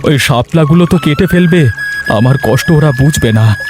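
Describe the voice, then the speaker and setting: Cartoon role: devoted young village son, male actor. Lamenting, melodramatic, fairly high male pitch, music clearly underneath. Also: animated, normal speed, natural voice. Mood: heartbroken. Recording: music behind the voice. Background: background music.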